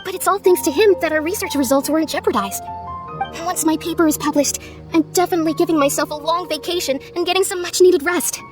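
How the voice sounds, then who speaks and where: A woman's voice: very high voice, fast, faint music behind. A woman playing an upbeat, hard-working researcher in a video game. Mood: relaxed, hopeful.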